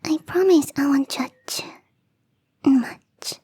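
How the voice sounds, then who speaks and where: A shy, playful young catgirl gardener, acted by a woman, talks one-to-one in an ASMR roleplay. Her high voice is soft and mellow, often close to a whisper. She takes her words slowly and hesitantly. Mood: playful, pleading. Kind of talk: ASMR roleplay, intimate one-sided dialogue.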